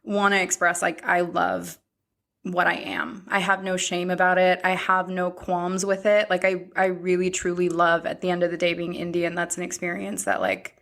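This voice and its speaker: A woman in her thirties hosting a podcast, chatting in a reflective, halting way. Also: fairly low pitch, little pitch movement, vocal fry, fairly bright tone. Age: 30s. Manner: reflective, speaks in short halting phrases. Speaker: podcast host. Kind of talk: casual chat.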